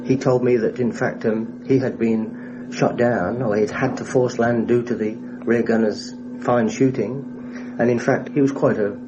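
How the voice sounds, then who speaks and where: A man, a former airman, recalling his wartime experience in a measured, matter-of-fact way for a radio documentary interview. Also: medium pitch. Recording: muffled 1960s radio recording, hissy.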